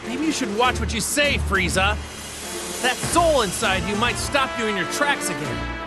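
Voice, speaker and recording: A man's voice: high-pitched, very animated, normal speed. A man playing an earnest, cheerful, boyish martial-arts hero in an anime game, eager and plain-spoken. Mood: serious, firm. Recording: music under the voice.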